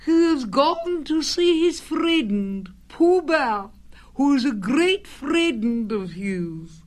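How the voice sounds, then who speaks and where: A woman's voice: high voice, big pitch swings, extremely slow, pitched above the performer's own voice, full character voice. A woman voicing a nervous, fussy male rabbit in a storybook, wary and polite with a prim, evasive way of talking. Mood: doubtful.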